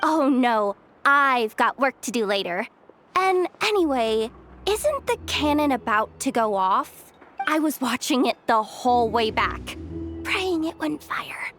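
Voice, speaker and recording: An excitable young working girl for a video game. She has a very high, swooping voice and gushes breezily with small stammers, though her words come out unhurried. Music is clearly audible behind her. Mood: flustered. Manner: gushing, breezy, slightly stammering.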